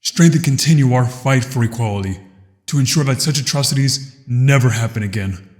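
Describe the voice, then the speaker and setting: A solemn alien co-chair acted by a man delivers oratory in a sci-fi audio drama, measured and grave, with weighty pauses. His mid-pitched voice carries through a long, ringing hall. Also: a little mellow, lightly acted. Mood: resolute.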